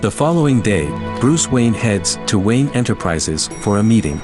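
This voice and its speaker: A man's voice: fairly low pitch, big pitch swings, moderate pace. A man narrating a scripted audio drama in a storytelling role. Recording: loud music behind.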